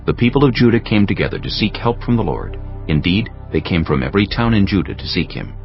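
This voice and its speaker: Deep-voiced solemn male narrator. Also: expressive, fast, lo-fi sound, faint music behind. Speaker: audiobook narrator. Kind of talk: scripted scripture narration.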